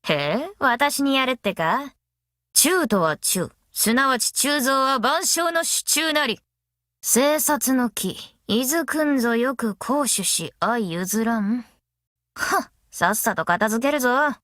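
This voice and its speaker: A woman voicing a brash, easygoing, long-lived female master metalsmith in an anime game, with rough, cocky, tomboyish speech. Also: a little high, animated, takes it slowly, fairly bright tone, dry recording, clean studio sound. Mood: fierce, commanding. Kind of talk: anime mobile game character voice lines.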